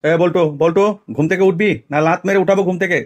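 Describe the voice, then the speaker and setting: Fast, high-pitched scolding cartoon father played by a man. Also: fairly even intonation, darkish tone, slight background noise, natural voice. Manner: scolding, crude, threatening. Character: gruff, foul-mouthed, bad-tempered village father. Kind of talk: amateur comedy cartoon voice.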